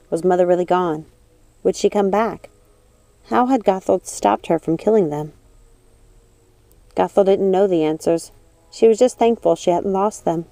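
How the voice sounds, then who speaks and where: A woman reading scripted storybook narration in a measured, expressive manner. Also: fairly low pitch, no echo. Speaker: audiobook narrator.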